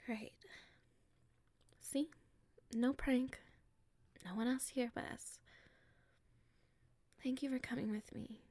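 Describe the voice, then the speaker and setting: A nervous popular schoolgirl played by a woman speaks in a fairly high, soft voice that often drops near a whisper. She takes her time, halting and pleading shyly, with a creaky edge. It is an intimate ASMR roleplay performance. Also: fairly even intonation, dry recording. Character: nervous, shy-spoken popular schoolgirl with a secret crush.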